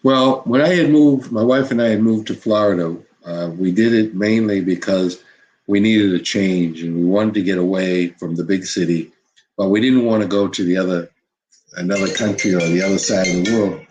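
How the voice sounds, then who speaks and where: An older man, a former basketball coach, telling stories from his career in an unhurried interview, full of "you know" and restarts. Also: low-pitched, a little mellow, poor audio. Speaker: former college basketball head coach, guest. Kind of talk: reflective interview storytelling.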